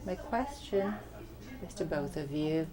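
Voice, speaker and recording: A woman interpreting audience questions live at an academic symposium. She speaks in short, halting phrases and often corrects herself mid-sentence. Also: sits low, lively intonation, a crowd in the background. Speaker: simultaneous interpreter.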